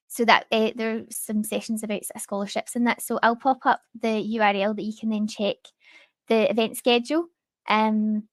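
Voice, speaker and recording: A woman's voice: medium pitch, no room sound. A woman hosting an online student panel in an informal, slightly hesitant way over a video call. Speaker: host, university staff moderator.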